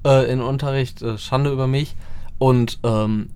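A man who hosts a casual interview and asks questions in a relaxed, chatty way. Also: medium pitch, normal speed, smooth. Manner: relaxed, chatty, laughs easily.

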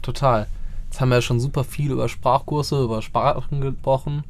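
A man who hosts a casual interview and asks questions in a relaxed, chatty way. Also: mid-range voice, fairly quick, a little hiss or hum. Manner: relaxed, chatty, laughs easily.

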